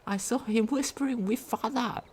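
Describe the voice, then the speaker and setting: A woman's voice: a little high, normal speed, darkish tone, slight background noise. A woman reading a game diary aloud as a video commentator, sometimes stumbling over her words.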